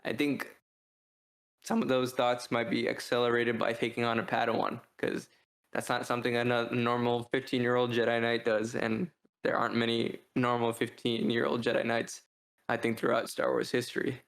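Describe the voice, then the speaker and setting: A man's voice: mid-range voice, completely flat delivery, moderate pace, creaky, fairly bright tone. Male podcast co-host, narrow melodic range, casual and discursive, reasoning things out as he goes. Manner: thinking aloud, peppered with "like" and "right?". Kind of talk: casual book review discussion.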